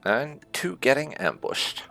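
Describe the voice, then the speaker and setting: A man's voice: mid-range voice, big pitch swings, slow pace, vocal fry, sharp tone, soft background music. A man giving casual commentary as host of a game playthrough. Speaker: gaming video host.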